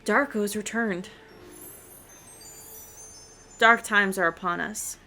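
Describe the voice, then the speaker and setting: A woman giving casual, expressive gameplay commentary, chattily reacting aloud to the game as she plays. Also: medium pitch, measured pace, soft background music. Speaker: video game streamer.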